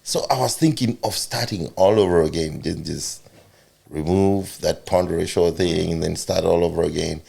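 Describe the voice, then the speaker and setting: A man's voice: low voice, normal speed, vocal fry, dark tone, breathy edge. A man with a Zimbabwean accent and Shona as his first language, chatting informally as a talk show host. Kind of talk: casual interview chat.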